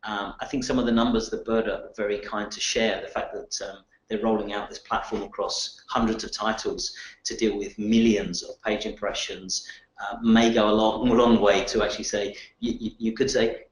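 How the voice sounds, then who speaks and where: A man's voice: on the low side, little pitch movement, moderate pace, echoey room, low-quality recording. A man hosting a webinar, talking with many hesitations and fillers. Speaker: webinar host. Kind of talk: webinar presentation.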